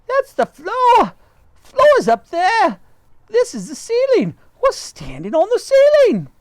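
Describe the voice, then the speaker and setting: A man voicing a nasty old woman who screams in a shrill, frantic voice. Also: huge pitch swings, slow, no room sound, full character voice.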